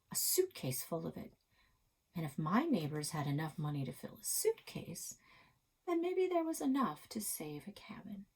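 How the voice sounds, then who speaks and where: A woman reading a children's story aloud with dramatic, expressive delivery, as a library reader. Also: mid-range voice, gravelly, breathy edge.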